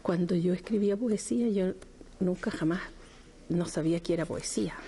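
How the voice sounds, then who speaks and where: A middle-aged woman from Chile, a poet answering interview questions slowly and thoughtfully, with frequent hesitations. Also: fairly low pitch, mellow, a little hiss or hum.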